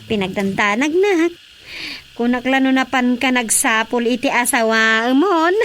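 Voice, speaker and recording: A grown daughter in a radio comedy, talkative and mildly exasperated. Her voice is high, with an Ilocano accent, over faint background noise. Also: lively intonation, measured pace, a bit bright. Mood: explaining. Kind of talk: radio comedy drama acting.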